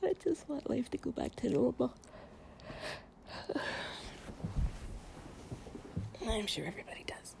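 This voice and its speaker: A woman playing an affectionate, playful girlfriend in an improvised bedtime cuddle audio, speaking softly and breathily, often near a whisper, in a rambling way. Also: fairly high pitch, very animated, fast talker, vocal fry, voice pushed up, heavily acted. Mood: sympathetic, weary. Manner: soft, rambling, affectionate, giggly. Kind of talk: improvised intimate relaxation roleplay audio, ASMR-like.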